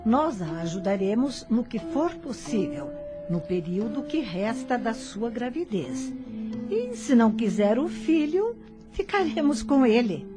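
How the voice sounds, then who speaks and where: A woman's voice: a little high, sing-song, a little slow. A woman playing a kindly, respectful helper in an audio drama. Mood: earnest. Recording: loud music under the voice. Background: loud music.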